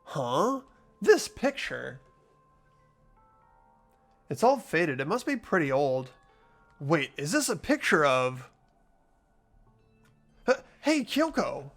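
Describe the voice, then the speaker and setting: Man playing an earnest, high-pitched teenage schoolboy. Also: takes it slowly, vocal fry, pauses often, soft background music, full character voice. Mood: curious, concerned. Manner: stammering, expressive. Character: earnest, easily startled teenage schoolboy who narrates. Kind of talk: amateur voice acting in a visual novel playthrough.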